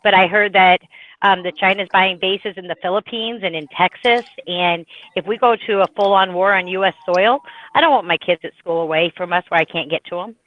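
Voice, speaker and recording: A woman's voice: on the low side, brisk, no echo. A woman in her thirties, a mother, talking anxiously and emphatically in a casual group voice chat. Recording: tinny phone-like line.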